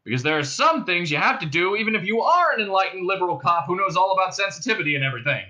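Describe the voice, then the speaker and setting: A man's voice: high-pitched, sing-song, rapid-fire, pitched above the performer's own voice, exaggerated voice. A man voicing a self-pitying, gun-happy space cop in a comic sci-fi audiobook, calling out in an earnest, indignant way. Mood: earnest, insistent. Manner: shouting through a bullhorn, earnest and indignant.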